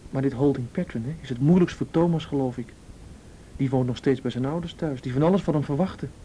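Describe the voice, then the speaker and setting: An actor playing a thoughtful, steady, quietly nervous conspirator in a radio play, speaking in a measured conversational way. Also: male voice, close to an everyday voice. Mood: reflective. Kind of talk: Dutch radio play acting. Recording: dull, noisy radio recording with rumble.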